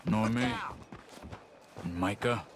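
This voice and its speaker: An actor playing a calm, serious outlaw companion in a Western video game, speaking in measured, terse phrases. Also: male voice, lowish voice, noisy background. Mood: grave. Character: calm, grave male outlaw companion in the Old West.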